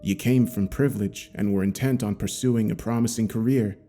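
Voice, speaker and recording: Guy playing a gruff-mannered skeptical interrogator, deep-ish mellow voice, radio play style. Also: fairly even intonation, a little fast, soft background music, lightly acted. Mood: incredulous. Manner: blunt, incredulous. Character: blunt, skeptical bearded male cryptozoologist questioning a suspect. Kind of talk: audio drama acting.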